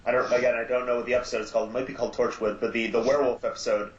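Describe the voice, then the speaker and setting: A man appearing as a guest on a podcast, speaking casually and haltingly with lots of ums. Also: medium pitch, level tone, echoey room, highs cut off. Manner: halting, many ums, easygoing. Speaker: guest, audio drama producer. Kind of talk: casual chat.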